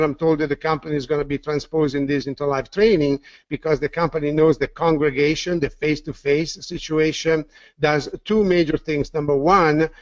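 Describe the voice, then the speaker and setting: A man's voice: a little high, no echo. A man with an Italian accent presenting a sales webinar, upbeat and repeating words with "uh" fillers. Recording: dull, dry lo-fi audio.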